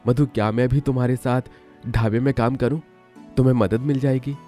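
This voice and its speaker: A man voicing a friendly young village man who eagerly offers to help his sister-in-law, speaking in a gentle tone over background music. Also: medium pitch, steady tone, brisk, close to an everyday voice.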